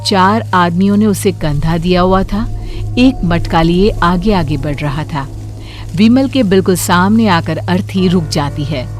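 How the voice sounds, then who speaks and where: Female horror-story narrator, slightly low register, scripted delivery over faint music. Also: unhurried.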